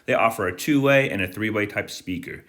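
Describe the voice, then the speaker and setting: A man with a somewhat low voice narrates a product tour for viewers. His delivery is informal and approving, as he points out one feature after another. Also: brisk, a bit bright.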